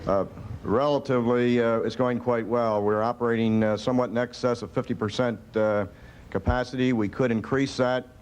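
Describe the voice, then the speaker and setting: A man's voice: fairly even intonation, moderate pace, a little mellow, smooth. An American man, a government official, answering press conference questions in a firm, matter-of-fact way. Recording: faint rumbly background noise.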